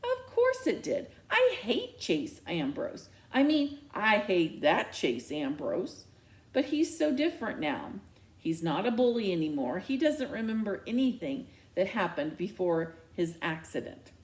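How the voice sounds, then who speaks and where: Woman playing an earnest, protesting teenage girl, lively pitch. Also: measured pace. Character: defensive, pleading teenage daughter, earnest and stubborn.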